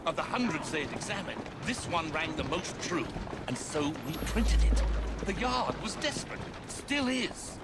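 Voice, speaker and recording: A man's voice: fairly high pitch, sing-song, moderate pace, over loud music. An actor playing a frightened older Victorian newspaperman and anguished father in a video game, formal and agitated, with an English accent. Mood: sombre. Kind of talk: video game cutscene acting.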